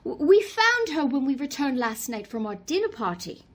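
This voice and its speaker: A woman playing an anxious, proper high-society lady in a radio mystery play, with a formal, theatrical manner. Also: big pitch swings, normal speed. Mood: anxious, indignant. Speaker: actor in an amateur radio play. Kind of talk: radio mystery drama acting.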